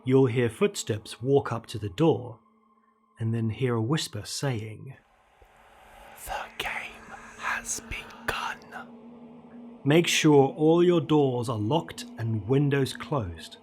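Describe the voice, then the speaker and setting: A man in his thirties narrating a scary story in a theatrical, ominous way with deliberate emphasis. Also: mid-range voice, animated, a little hiss or hum. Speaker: horror storyteller, show host. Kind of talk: dramatic creepypasta narration. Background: dark ambient music.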